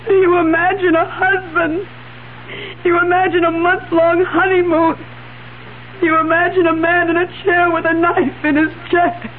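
Seventies radio drama: emphatic, distraught wife, pressing to be believed, muffled tape sound. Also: female voice, very high voice, flat delivery, moderate pace, slight background noise. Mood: distraught, insistent. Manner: insistent, emphatic. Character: distraught, insistent wife whose husband vanished. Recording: muffled 1970s radio recording.

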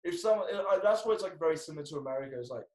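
A man's voice: a little high, expressive, racing, darkish tone. An Australian man hosting a casual podcast chat, with a blunt, matey, sweary way of talking. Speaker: podcast host and comedian. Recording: echoey room sound.

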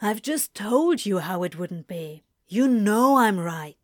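Woman doing a chill demon guy in a story, slow easy talk, teasing and coaxing, dry sound. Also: medium pitch, expressive, no echo. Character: laid-back, teasing male demon tempter.

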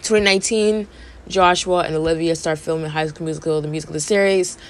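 Young woman, gossip podcast host, low-ish voice going hoarse, chatty and filler-heavy. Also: fairly even intonation, fast, a little hiss or hum. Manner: chatty, fillers like "like" and "you know". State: losing her voice. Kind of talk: casual entertainment gossip commentary.

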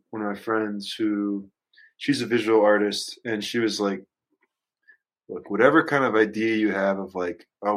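Male podcast guest, lowish voice, conversational, thinking out loud. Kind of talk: casual conversation.

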